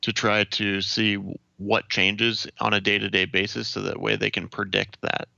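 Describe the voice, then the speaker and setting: A young American man from Ohio giving measured, explanatory answers as an interview guest. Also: lowish voice, flat delivery, vocal fry, pushed and bright, no room sound, dull audio. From Ohio, United States. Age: young adult.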